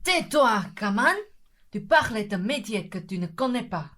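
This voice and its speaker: A woman's voice: medium pitch, expressive, measured pace, fairly bright tone. A woman playing a bossy, irritable male gang leader in a comic radio play, curt and scolding in delivery. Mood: irritated, commanding.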